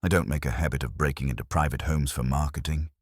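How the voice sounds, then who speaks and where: A man's voice: very deep voice, fairly even intonation, quick pace, breathy edge, no room sound, clean studio sound. A man voicing a slick, dismissive corporate executive in a detective audiobook, formal and evasive.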